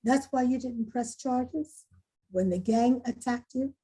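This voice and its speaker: An actress playing a proud but softening school principal, probing and candid in a dramatic table read, with a husky voice. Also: female voice, on the high side, takes it slowly, rough recording. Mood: remorseful.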